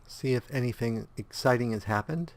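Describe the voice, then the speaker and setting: A man's voice: mid-range voice, moderate pace. A man with a stuffed-up nose and slightly sore throat, casually commenting on his game as he plays and humming idly through menus. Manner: hums idly while navigating menus; thinking aloud, relaxed, rambling. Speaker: let's play host.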